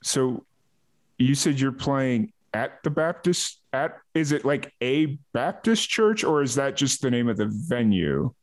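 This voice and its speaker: A man hosting a casual music interview, halting as he talks and often repeating words. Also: medium pitch, expressive. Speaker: host.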